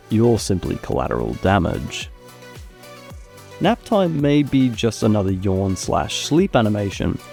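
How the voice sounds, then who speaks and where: A man hosting a gaming video, narrating a review in an expressive, opinionated way into a close mic. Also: mid-range voice. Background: background music.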